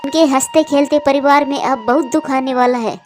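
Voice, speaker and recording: High-voiced woman narrating a children's story. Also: fairly even intonation, moderate pace, soft background music.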